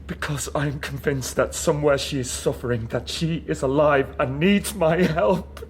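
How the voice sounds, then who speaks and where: An actor playing a broken, submissive political prisoner in a radio drama, hesitant, meek and earnest. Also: male voice, fairly high pitch, normal speed, a little hiss or hum, lightly acted. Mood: anguished, yearning. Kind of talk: naturalistic radio drama acting.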